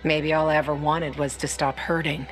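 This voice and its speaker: A woman's voice: sits low, level tone, moderate pace, natural voice. A woman playing a tough, candid young fighter with a wry, guarded manner in a video game cutscene. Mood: candid, reflective. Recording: music behind the voice.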